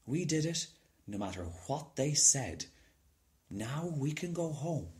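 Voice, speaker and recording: A man's voice: on the high side, very animated, slow, a little mellow, voice pushed up. A man voicing a small, plucky, proud boy in a storybook, earnest and determined. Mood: proud, defiant. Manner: earnest, folksy phrasing.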